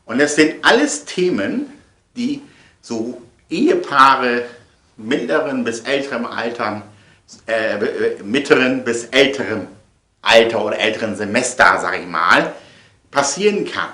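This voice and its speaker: A middle-aged man talks casually to his viewers in a bright, forceful voice. He rambles, repeats phrases and stresses them theatrically. The room is clearly echoey. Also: mid-range voice, lively intonation, a little slow. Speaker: vlogger and audio play producer.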